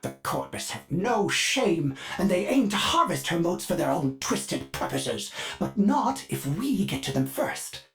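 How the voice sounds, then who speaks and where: A doting, courteous ship AI from a video game, his filtered synthetic voice swinging lively in pitch. He talks of himself in the third person, and now and then his words stutter and loop like a faulty machine. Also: measured pace, creaky, faint music behind, exaggerated voice. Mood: cheerful. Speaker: ship's onboard assistant.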